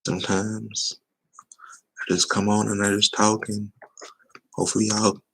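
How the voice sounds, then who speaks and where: A man chatting loosely and teasingly on a sports talk livestream, with a voice he says is going hoarse. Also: fairly low pitch, flat delivery, a little slow, a bit bright, poor audio. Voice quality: gravelly, husky. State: losing his voice. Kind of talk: casual livestream chat.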